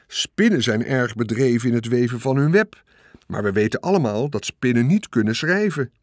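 A man's voice: mid-range voice, expressive, moderate pace, no room sound, clean studio sound, natural voice. A man voicing a pompous, grandiose fairground announcer making formal, oratorical proclamations in an audiobook. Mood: grand, proclaiming. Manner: ceremonious, oratorical. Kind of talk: audiobook character voice.

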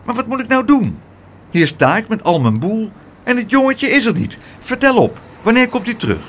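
A man's voice: very animated, normal speed, a bit bright, slight background noise. Een man die een schelle, bazige, verontwaardigde tante voorleest in een kinderverhaal. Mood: indignant. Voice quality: high, scolding, shrill. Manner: indignant, demanding. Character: shrill bossy fussing aunt, middle-aged woman.

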